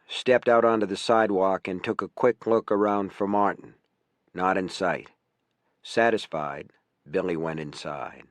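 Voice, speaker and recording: A man reading a nonfiction audiobook in a measured, clearly phrased scripted narration. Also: on the low side, dry recording.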